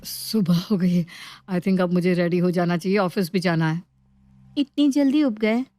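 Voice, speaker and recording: A woman's voice: fairly low pitch, measured pace, warm tone, natural voice. A woman voicing a friendly, self-conscious young woman who mixes English into chatty Hindi. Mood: friendly, interested.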